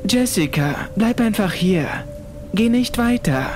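A man's voice: high-pitched, expressive, measured pace, music in the background, voice pushed up. A man voicing a worried young son in a horror audiobook, urgent and questioning. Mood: reassuring. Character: worried young son, narrator of his own ordeal.

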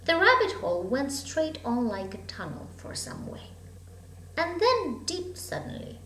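Woman storyteller, high and very lively, dramatic phrasing for children's fiction; homemade echoey recording. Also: measured pace. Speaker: storybook reader. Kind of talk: expressive children's story reading. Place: room at home with bookshelves. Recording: echoey room, hum and background noise.

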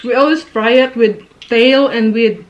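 A woman's voice: on the high side, a little slow, dull audio. A woman in her thirties from the Philippines with a Filipino accent, chatting while eating at a dinner table and mixing in Tagalog. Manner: chatty, giggly, mixes Tagalog and English. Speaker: vlogger. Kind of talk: mukbang chat. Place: living room at a dinner table. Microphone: camera across the table.

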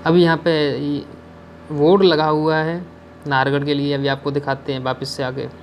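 A man's voice: a little high, little pitch movement, moderate pace, a little hiss or hum. A young man narrating a travel vlog outdoors on a hill road, chatting to viewers and giving safety advice. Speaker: travel vlogger.

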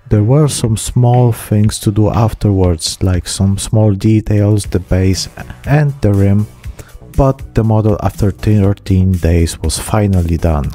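A man in his thirties hosts a hobby painting vlog. His voice sits fairly low and has a very mellow, soft-edged tone. Faint music plays behind him. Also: no room sound. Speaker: hobby channel host. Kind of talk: miniature painting vlog narration.

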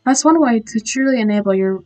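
A woman voicing a shy, bookish teenage girl, reading softly with small slips. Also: a little high, animated, soft background music, close to an everyday voice, poor audio. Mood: timid. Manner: soft, halting reading with slips. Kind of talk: reading visual novel lines aloud.

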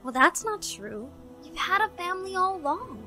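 A woman's voice: very high-pitched, sing-song, moderate pace, sharp tone, faint music behind, full character voice. A woman playing a proud, determined young cartoon princess with a firm, commanding manner. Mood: gentle, sympathetic.

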